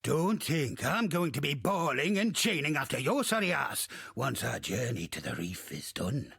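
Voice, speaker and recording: An actor playing a gruff, salty old undead pirate captain in a video game, swaggering and theatrical, with crude archaic sailor talk and a husky, gravelly voice. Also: male voice, mid-range voice, sing-song, moderate pace, fairly bright tone, dry recording, studio quality, heavily acted. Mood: grudging, irritated. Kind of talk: video game character acting.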